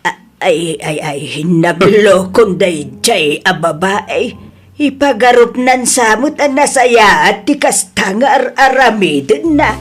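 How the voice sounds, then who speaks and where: Expressive Ilocano mother voiced by a woman. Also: mid-range voice, slow pace. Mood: dismayed. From Philippines. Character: older Ilocano mother, expressive and easily scandalised. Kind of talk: Ilocano audio drama acting.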